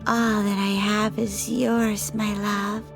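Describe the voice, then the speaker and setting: A woman voicing a playful, flirtatious woman, a vampire's lover, in an audio drama, teasing and unhurried as she tells her stories. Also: mid-range voice, flat delivery, creaky. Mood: teasing, confiding. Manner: teasing, unhurried storytelling with frequent laughter. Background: background music.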